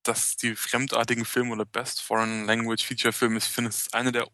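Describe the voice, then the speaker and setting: A man co-hosting a casual podcast, with a bright voice at an ordinary pitch. The recording is dry and close. He talks in an easygoing, halting way and laughs often. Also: flat delivery, no room sound. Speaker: podcast co-host. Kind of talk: casual chat.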